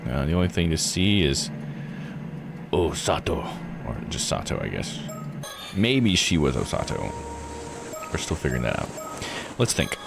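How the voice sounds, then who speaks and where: A man's voice: rumbling low, very animated, unhurried, gravelly, pauses often. A man streaming a visual novel, reading text aloud and adding casual commentary. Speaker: game streamer. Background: music behind the voice.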